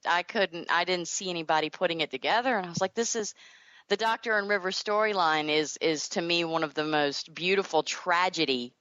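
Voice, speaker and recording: A woman from the American South in casual interview chat. Her voice sits fairly low and she gushes like a fan, on a dry, dull recording. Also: a little fast, a bit bright, dry recording. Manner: gushing fan. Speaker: podcast guest, creator.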